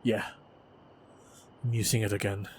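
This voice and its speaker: A man acting a steady, protective fighter in a fantasy action roleplay, speaking to the listener in short phrases with pauses. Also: fairly low pitch. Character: steady protective male fighter guiding a princess. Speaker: voice actor in audio roleplay. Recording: noisy, effects-heavy track.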